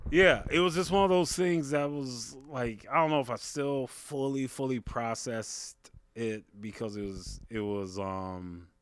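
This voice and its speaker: A man with a big voice, a podcast guest, telling a personal story in a casual, halting, conversational way. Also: mid-range voice, clean tone, no echo. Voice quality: big, carrying voice. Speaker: podcast guest, stand-up comedian.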